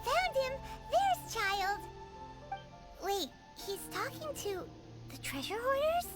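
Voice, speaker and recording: A woman voicing a tiny, excitable, childlike fairy companion in an anime video game. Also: very high-pitched, slow, heavily acted. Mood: eager. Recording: loud game music behind.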